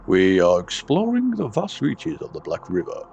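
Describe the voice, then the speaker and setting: A man voicing a solemn, pious ship's navigator in a formal, preachy, measured way for a game. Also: low voice, huge pitch swings, gravelly, darkish tone, a little hiss or hum, full character voice.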